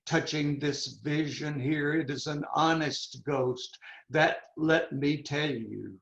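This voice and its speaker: A man acts out a feverish young prince in a Shakespearean stage reading. His fairly high voice has a creaky, gravelly grain, and he declaims with brooding intensity, dwelling on words. It is heard in a very echoey room. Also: slow pace, a bit bright, heavily acted. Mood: wry, intense. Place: indoors on a video call. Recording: roomy, echoing, muffled video call audio.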